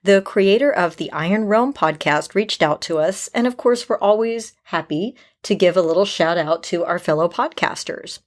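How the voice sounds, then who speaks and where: Middle aged lady podcast host, lowish voice, upbeat n candid, chatting away. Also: normal speed, hardly any pauses.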